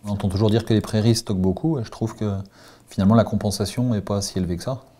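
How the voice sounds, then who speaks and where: A man, a dairy farmer talking things over in a thoughtful, measured way in a farmhouse kitchen. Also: fairly low pitch, steady tone, mellow. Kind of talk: conversation with adviser.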